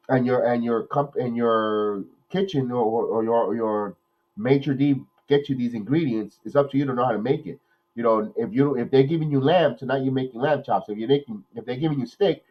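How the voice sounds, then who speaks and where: Nasal man calling a game excitedly. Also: mid-range voice, normal speed, muffled recording. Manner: excited play calling, rambling opinion talk with restarts. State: nasal from a cold. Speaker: podcast host doing live play-by-play. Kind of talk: watch-along game commentary and chat.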